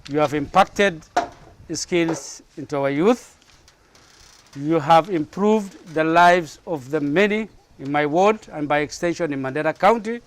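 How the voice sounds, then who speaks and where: A man speaks formally as a local representative. His voice is slightly high, and a faint noise lies behind it. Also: measured pace.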